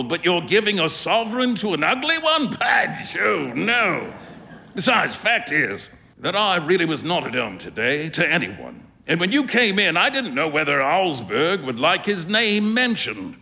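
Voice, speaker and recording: A man's voice: on the high side, big pitch swings, moderate pace, creaky, voice pushed up, full character voice. A man voicing a jovial male painter who teases his friend, read aloud on a stage. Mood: amused. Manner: bright, hearty, amused. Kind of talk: stage reading of a story. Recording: reverberant live hall.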